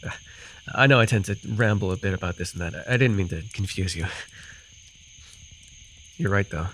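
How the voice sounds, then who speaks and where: A man's voice: low voice, normal speed, fairly bright tone. A man acting a rambling, amused forest fae in a fantasy ASMR roleplay, telling his tale with hesitant, apologetic asides. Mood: apologetic, gentle. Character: rambling, amused male forest-dwelling fae storyteller with bird companion. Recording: noisy with cricket and insect ambience. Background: night forest ambience with crickets and insects.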